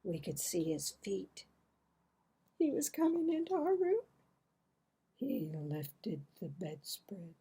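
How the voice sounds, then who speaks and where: A woman in her sixties reading a children's story aloud in a dramatic, deliberate, expressive way. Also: medium pitch, breathy edge. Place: small home office, indoors.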